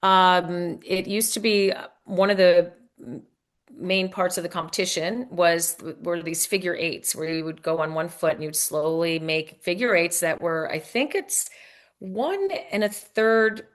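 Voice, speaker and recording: A woman's voice: fairly low pitch, measured pace. A woman author speaking as an interview guest, explaining in a casual, rambling way with self-corrections.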